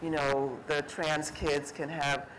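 A woman's voice: sits low, takes it slowly, slight background noise, low-quality recording. Chatty middle-aged woman on a panel stage. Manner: relaxed and chatty, many fillers and restarts, acts out quoted remarks. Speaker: artist speaking on a panel. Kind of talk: conversational talk on stage. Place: stage at an art fair talk, indoors before an audience.

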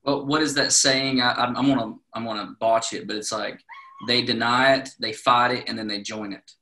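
A man's voice: mid-range voice, steady tone, moderate pace, bright, forceful tone. A man hosting a casual podcast, laid-back and slangy, calling people dude. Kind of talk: casual chat.

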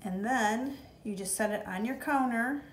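A woman's voice: on the high side, moderate pace, reverberant, noisy background. A woman hosting a home baking video, chatting to viewers as she shows each step in her kitchen. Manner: chatty and encouraging, talks to viewers as "you guys". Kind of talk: casual step-by-step baking demo.

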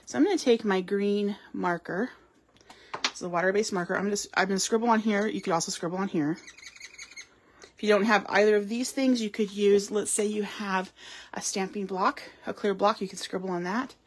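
A woman's voice: mid-range voice, fairly even intonation, fairly quick, a little hiss or hum. A woman giving a live card-making demonstration, chatting and explaining as she works, with frequent ums. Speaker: craft store demonstrator. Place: craft table at home or in a studio.

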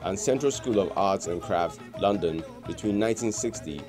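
A man's voice: deep voice, moderate pace. A man with a Nigerian accent reading a scripted biographical profile as a narrator. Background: music.

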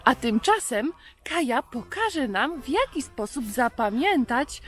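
A woman's voice: high voice, sing-song, a little slow, faint music behind. A woman in her thirties presenting a children's show, talking simply and directly to young viewers. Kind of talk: simple, friendly talk to children.

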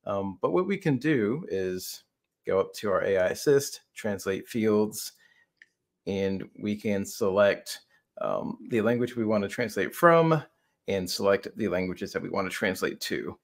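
A man's voice: on the low side, lively intonation, measured pace, vocal fry. A man in his thirties explaining a product on a video call as a conversational co-presenter. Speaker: software demo co-presenter.

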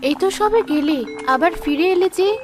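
A woman's voice: very high voice, brisk. A woman voicing a patient, worried village wife and mother in a cartoon, in a soothing, concerned manner. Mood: accepting. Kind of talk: cartoon dialogue dub. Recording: music under the voice.

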